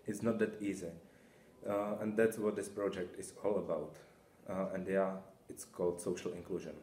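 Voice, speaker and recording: Deep-voiced young man in echoey office. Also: little pitch movement, moderate pace, warm tone. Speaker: exchange student. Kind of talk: testimonial to camera. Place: small indoor office. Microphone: camera a short way off. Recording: echoey office with faint noise.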